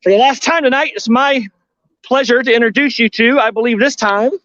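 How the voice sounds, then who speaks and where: A man with a high voice hosts a live donation appeal. He is earnest and pleading, repeating words for emphasis. The sound is dry and slightly dull. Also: a little fast, a bit bright, no room sound. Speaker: host of a theater fundraiser.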